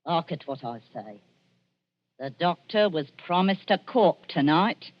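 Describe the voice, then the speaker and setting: An actress playing a sly, sharp-tongued old woman in a 1940s-style radio drama. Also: sits low, expressive, a little slow. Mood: wheedling, scheming. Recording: muffled old radio recording.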